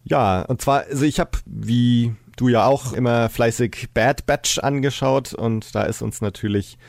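Male podcast host, mid-range voice, relaxed conversational delivery, dry room-free sound. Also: mid-range voice, measured pace, creaky, no room sound. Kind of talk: casual chat.

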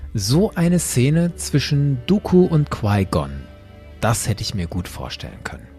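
A man's voice: mid-range voice, darkish tone. A man hosting a podcast, delivering scripted commentary in an opinionated, emphatic way. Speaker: podcast host.

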